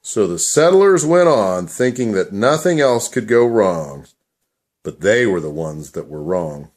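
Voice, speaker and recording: A man's voice: on the low side, lively intonation, unhurried, darkish tone. A man reading scripted storybook narration for a puppet show.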